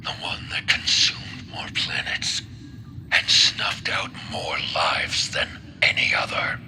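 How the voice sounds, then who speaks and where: An actor playing a grim, vengeful warrior in a game cutscene, with a rough, breathy growl and solemn, weighty delivery. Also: male voice, slow pace, pushed and bright, whispered. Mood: grave, bitter. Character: grim vengeful warrior, survivor of a destroyed planet. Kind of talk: dramatic scripted game cutscene acting.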